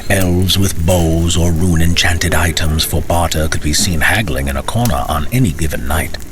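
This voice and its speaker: A man's voice: sits low, moderate pace, background noise. A man narrating a fantasy tale as a storyteller, with dramatic, theatrical and courtly phrasing.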